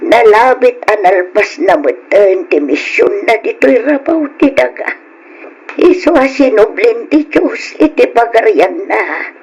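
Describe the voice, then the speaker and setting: A woman's voice: fairly high pitch, animated, measured pace, a little mellow, husky, heavily acted, rough recording. An actress playing a grieving elderly mother in an old Ilocano radio drama, speaking in a plaintive, pleading, emotional way. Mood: sorrowful.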